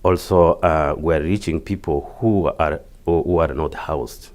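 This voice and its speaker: Very deep-voiced Kenyan man. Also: darkish tone. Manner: halting, repeats words. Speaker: interview guest, community health project worker. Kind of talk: interview answers, explaining.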